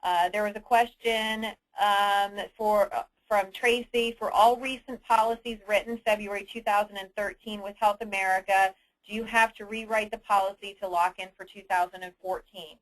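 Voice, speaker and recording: A woman hosting a conference call briefing, answering submitted questions in an explanatory, businesslike and somewhat halting manner. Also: medium pitch, steady tone, low-quality recording. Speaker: host of an insurance agents' conference call. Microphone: telephone conference line.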